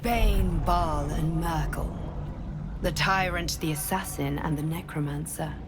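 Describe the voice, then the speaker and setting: Grave, low-voiced female guardian. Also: noisy background. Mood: grave, analytical. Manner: grave, measured, earnest. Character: solemn, commanding female dream guardian in fantasy game. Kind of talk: dramatic video game acting. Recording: game dialogue over music.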